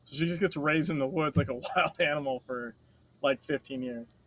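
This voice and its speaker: A man co-hosting a casual chat, telling stories in a rambling, animated way and swearing freely. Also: on the high side, moderate pace, poor audio. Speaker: podcast co-host.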